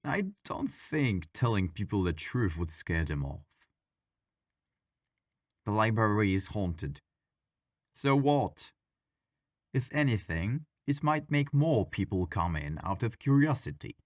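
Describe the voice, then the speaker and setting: A man playing a friendly, bored, mischievous ghost in an intimate ASMR audio roleplay, talking in a playful, wry, gently argumentative way. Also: on the low side, very animated, slow pace, long pauses, no room sound, clean studio sound. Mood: defensive, teasing.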